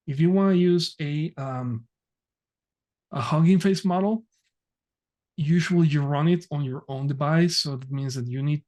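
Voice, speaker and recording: A man presenting an online technical workshop over a video call, talking haltingly with many fillers and restarts. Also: mid-range voice, darkish tone.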